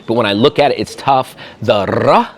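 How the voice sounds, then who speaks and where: A man's voice: medium pitch, moderate pace. A middle-aged man from the American Midwest with a Midwestern accent, talking casually in an interview, chatty and self-deprecating, laughing often. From Midwestern USA. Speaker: interview guest.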